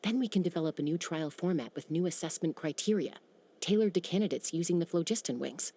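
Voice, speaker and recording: A woman's voice: lowish voice, fast talker, warm tone, slightly rough. An actress playing a young female warrior who speaks in a level-headed, analytical way. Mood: reasoned. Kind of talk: video game cutscene dialogue.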